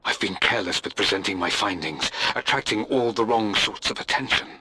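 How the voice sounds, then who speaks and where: A man's voice: fairly low pitch, animated, brisk, bright, forceful tone, husky, exaggerated voice, rough recording. Tense, secretive male scholar. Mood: guarded worry. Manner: tense, earnest, guarded. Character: secretive, wary, driven male scholar and father. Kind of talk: recorded journal narration.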